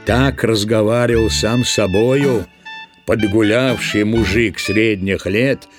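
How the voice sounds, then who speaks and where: A man's voice: fairly low pitch, slow pace, over music. A man narrating a literary audio drama in a scripted storytelling style. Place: dry studio.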